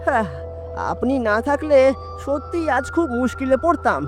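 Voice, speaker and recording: Woman as a high-voiced, anxious young schoolmaster. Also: lively intonation, moderate pace, over music. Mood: cold, relieved. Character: young male village schoolmaster, cold, anxious, grateful.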